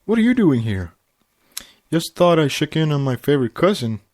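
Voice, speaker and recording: A man's voice: on the high side, big pitch swings, normal speed, a little mellow, voice pushed up. A man voicing a brash, cheeky young male pegasus wheeler-dealer in a boastful, familiar way, reading a story. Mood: cheery.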